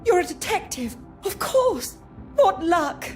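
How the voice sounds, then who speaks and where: An actress playing a young, well-bred British lady with an educated English accent, outspoken and expressive, in a video game. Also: very high-pitched, slow, soft background music, full character voice. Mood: distraught. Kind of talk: video game dialogue acting.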